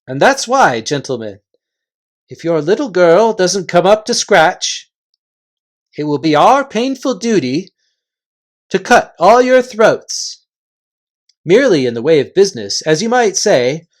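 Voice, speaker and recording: A man's voice: high-pitched, sing-song, measured pace, pauses often. A man voicing the folksy, blustering chief of invisible creatures in a storybook, cheerfully menacing in rambling homely phrases. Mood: matter-of-fact menace.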